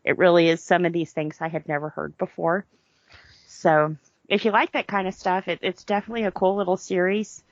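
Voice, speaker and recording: A woman's voice: on the low side, steady tone, brisk, no room sound. A woman co-hosting a podcast, talking casually in a chatty, informal storytelling way. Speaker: podcast co-host. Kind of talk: casual chat. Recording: dull, lo-fi sound.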